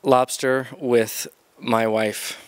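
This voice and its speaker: Deadpan thirties man, one-word answers, unhurried, dry close sound. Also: medium pitch, no echo. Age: 30s. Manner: terse one-word replies. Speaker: interviewee. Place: indoor church hall stage. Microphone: handheld mic held by interviewer.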